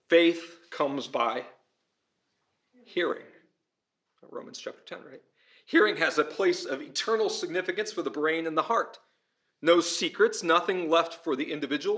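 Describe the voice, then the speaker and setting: A man giving an earnest, informal conference talk as a pastor and teacher, often checking in with his listeners with a quick "right?". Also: fairly high pitch, a bit bright. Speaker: pastor, schoolteacher and conference speaker. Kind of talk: conference lecture with audience questions. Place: room at a church and school, before an audience. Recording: echoey room.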